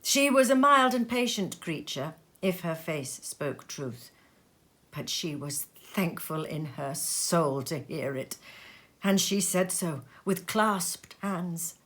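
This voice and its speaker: A woman storyteller giving an expressive, measured narration of a story, with dramatic pauses. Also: lowish voice. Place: sitting room.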